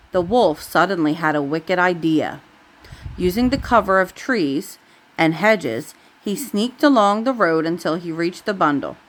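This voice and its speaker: A woman's voice: on the low side, fairly bright tone. A woman reading a bedtime story aloud to a child, with casual asides along the way.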